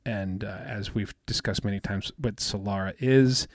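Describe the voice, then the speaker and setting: Soft-spoken low-voiced man, rambling. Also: steady tone, moderate pace, no room sound, clean studio sound. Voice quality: soothing, smooth voice. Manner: rambling with many fillers and restarts. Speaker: podcast co-host. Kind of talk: casual chat.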